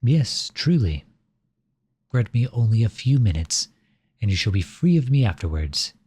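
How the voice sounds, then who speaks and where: A man playing a flirtatious, silver-tongued royal at a masquerade ball in an ASMR roleplay, speaking in courtly, formal, flattering phrases with a husky voice. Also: on the low side, a little slow, no echo, heavily acted. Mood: earnest, coaxing. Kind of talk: ASMR roleplay, scripted romantic monologue addressed to listener.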